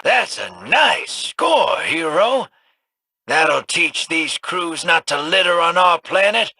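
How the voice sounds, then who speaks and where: Rowdy pirate boss, super raspy, slow and sharp, dry sound. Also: male voice, a little high, big pitch swings, dry recording, heavily acted. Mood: brash. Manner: brash, gruff. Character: swaggering male pirate captain, rowdy.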